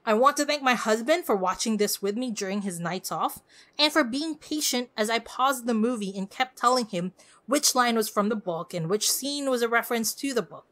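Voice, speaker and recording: Expressive woman podcaster, very lively intonation. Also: fairly high pitch, normal speed. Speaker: podcast host. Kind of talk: scripted solo podcast review.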